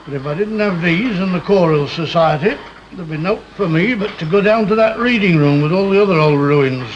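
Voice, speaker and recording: A man acts an older Northern English neighbour, high in pitch, homely and blunt with his probing questions. It is vintage television drama, on a muffled soundtrack with clear background noise. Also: a little fast, lightly acted. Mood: grumbling. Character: nosy, plain-spoken older neighbour man, fond of collecting. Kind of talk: 1960s British television drama acting.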